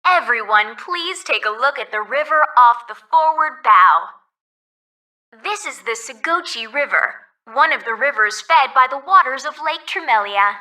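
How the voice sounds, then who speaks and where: A cheery polite ferry announcer for a game, super bright sharp voice, sounds a bit away from the mic. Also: female voice, high voice, lively intonation, unhurried, distant mic, full character voice. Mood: cheerful, informative. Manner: polite, clearly scripted announcer delivery. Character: polite, cheerful female resort ferry guide. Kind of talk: scripted tour announcements.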